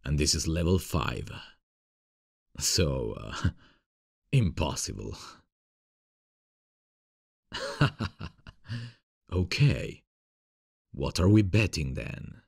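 An extremely low-pitched, husky man's voice as a male voice actor plays it in an ASMR boyfriend roleplay. He is a playful, cocky gamer who takes his time over his words and sounds close and dry. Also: darkish tone, no echo, full character voice. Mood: teasing, cocky. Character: playful frustrated gamer boyfriend, grown man, cocky but affectionate.

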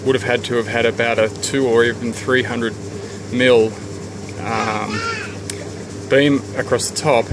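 A man of medium pitch talks through his renovation plans while filming on a handheld camera. He speaks in a relaxed, unhurried way, often stopping to rethink and restart his sentences. A faint hiss sits under the outdoor recording. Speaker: homeowner giving a renovation tour. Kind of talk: casual thinking-aloud walkthrough. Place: outdoors around a house, under a deck and in a garden. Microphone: handheld camera held by the speaker.

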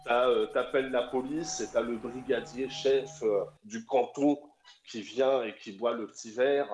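A man's voice: mid-range voice, fairly even intonation, unhurried, darkish tone. A man in his thirties calling in to a radio show, talking in an enthusiastic, rambling way with many fillers. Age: 30s. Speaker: caller. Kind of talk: casual radio call-in chat. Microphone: call over the internet. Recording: roomy echo, faint music behind.

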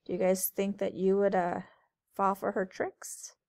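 A woman teacher reading a storybook aloud to children in a measured, sing-song way. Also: mid-range voice, level tone, darkish tone. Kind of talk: storybook read-aloud narration.

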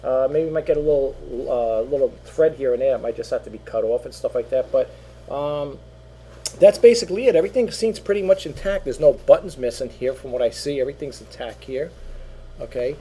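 Rambling middle-aged man, mellow voice. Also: mid-range voice, fairly quick, slight background noise, poor audio. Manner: rambling, repeats himself, many fillers. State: losing his voice. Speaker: online seller. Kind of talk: casual product walkthrough. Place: small home office indoors. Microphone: webcam at desk.

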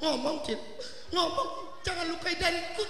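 A middle-aged man with an Indramayu Javanese accent doing stage comedy banter, loud and teasing, repeating words rapidly into a handheld mic. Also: very high voice, creaky. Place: outdoor village stage. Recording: echoey outdoor PA with music.

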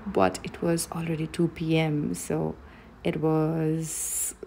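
A woman's voice: deep voice, completely flat delivery, takes it slowly, creaky, dark tone, slightly rough. A young adult woman vlogger talking casually and narrating her day. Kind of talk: casual vlog narration and chat.

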